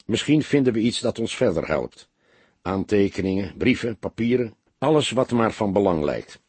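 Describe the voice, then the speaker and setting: Man doing a laconic, husky stout police detective. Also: fairly low pitch, moderate pace, no echo. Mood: businesslike. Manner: laconic, calm, courteous. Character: stout middle-aged male police detective, unhurried and wry.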